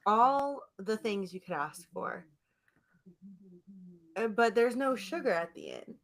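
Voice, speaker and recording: A woman's voice: mid-range voice, animated, takes it slowly, low-quality recording. A woman co-hosting a podcast in a casual chat, talking in a rambling, teasing way. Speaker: podcast co-host.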